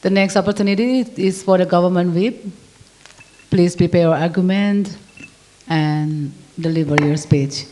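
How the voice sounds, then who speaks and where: A woman making brisk, formal procedural announcements as debate chair. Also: fairly low pitch, dark tone, slight background noise.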